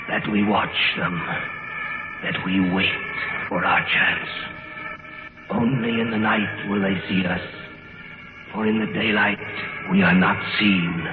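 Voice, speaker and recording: An actor playing a sinister voice of ancient prophecy in an old radio horror drama, intoning solemnly and menacingly. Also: male voice, deep voice. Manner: slow, solemn, menacing chant-like reading. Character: sinister, incantatory male voice of ancient dark prophecy. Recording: echoing, narrow-band old radio, music behind.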